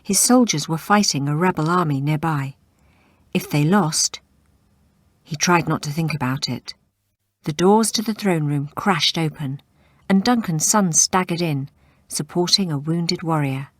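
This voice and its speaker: Slow-paced woman narrating a storybook. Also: low-pitched, dry recording, studio quality. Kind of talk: scripted read-along narration.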